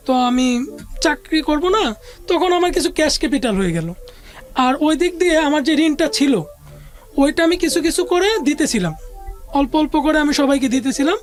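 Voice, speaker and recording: High-pitched Bangladeshi woman storytelling over music. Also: lively intonation, moderate pace, pauses often. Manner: casual colloquial Bengali with occasional English business words. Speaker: interview guest telling their life story. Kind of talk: conversational personal storytelling. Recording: music playing under the voice.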